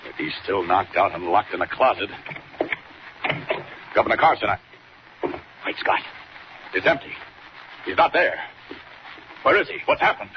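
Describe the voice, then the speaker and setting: A brave, urgent superhero from a 1940s radio serial. His voice sits low and commanding, under heavy noise in a muffled old recording. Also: sing-song, normal speed, pauses often. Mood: urgent, alarmed. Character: brave forceful male superhero, urgent and determined.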